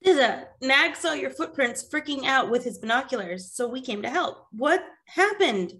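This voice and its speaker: A woman playing a treasure-loving, flippant adventurer with a casual, wry way of talking in an animated fantasy table read. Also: high-pitched, moderate pace, full character voice. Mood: brisk.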